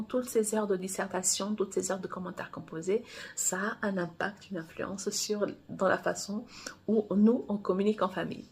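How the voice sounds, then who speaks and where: Husky-voiced woman from Angola, native Portuguese speaker. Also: mid-range voice, a little mellow. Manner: rambling, often restarts and corrects herself. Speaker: romance author. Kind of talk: casual personal monologue.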